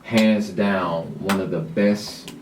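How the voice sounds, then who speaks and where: Lowish virginia host guy, chill, echoey room, faint hiss. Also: takes it slowly. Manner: relaxed, affirming, lots of "man". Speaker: radio show host. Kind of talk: casual interview chat. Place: small radio studio. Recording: roomy studio, distant mic, faint noise.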